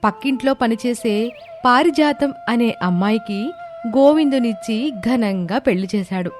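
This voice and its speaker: A woman's voice: on the high side, normal speed, darkish tone, faint music behind. A woman giving scripted narration of a moral story as its storyteller.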